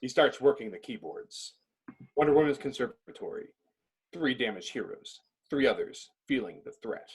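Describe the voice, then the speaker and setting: A man with a husky voice narrating a script in a clipped, descriptive reading style. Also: mid-range voice, animated, normal speed, rough recording.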